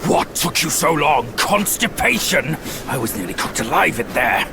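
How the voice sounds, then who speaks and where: A man's voice: a little high, big pitch swings, moderate pace, vocal fry, background noise. A man voicing a brash, sarcastic, grumbling beast warrior in a mythic video game, crude and theatrical in manner. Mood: sardonic, grumbling. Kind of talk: dramatic video game character acting.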